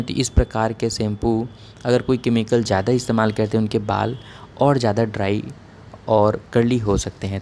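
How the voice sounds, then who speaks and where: A man's voice: on the low side, warm tone, slight background noise. A man giving a plain, methodical educational explanation as a doctor, point by point. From India. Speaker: dermatologist giving hair-care advice.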